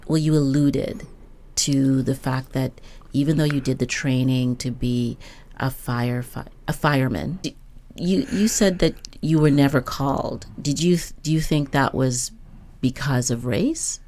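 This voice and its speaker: A woman's voice: very deep voice, a little slow, darkish tone, a little hiss or hum. A woman hosting a conversational interview, reflective and probing, building her questions gradually. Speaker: host, interviewer.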